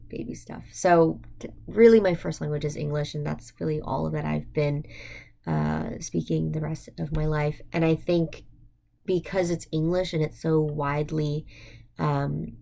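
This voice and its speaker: A woman's voice: deep voice, gravelly, dark tone. A young adult woman with an American accent, talking informally and reflectively as a student, thinking aloud with frequent hesitations and "like" fillers. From United States. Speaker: university student. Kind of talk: informal reflective monologue for a class.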